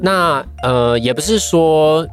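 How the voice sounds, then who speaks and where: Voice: mid-range voice, animated, slow pace, soft background music. A young adult with a Taiwanese accent, answering as a podcast guest in a careful, thoughtful, casual way. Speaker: guest, voice-acting trainee.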